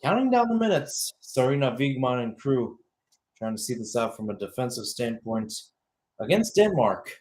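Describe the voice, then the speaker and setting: A young adult man delivers live soccer play-by-play in the style of a radio broadcast. His voice sits at a middling pitch, and he shifts between following the action and talking it over conversationally. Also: very animated, moderate pace, a little mellow. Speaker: play-by-play commentator.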